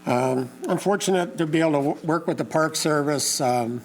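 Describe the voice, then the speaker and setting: Hoarse man addressing a local meeting. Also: a little high, slight background noise, rough recording. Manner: halting, many uh and um fillers, plain folksy wording. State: hoarse, losing his voice. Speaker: community meeting organizer and lake info page host. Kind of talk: informal public talk to a local meeting. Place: community meeting room.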